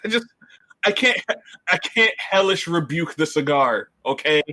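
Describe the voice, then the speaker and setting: A man's voice: a little high, animated, a little slow, sharp tone. A man bantering casually as a player in a tabletop game.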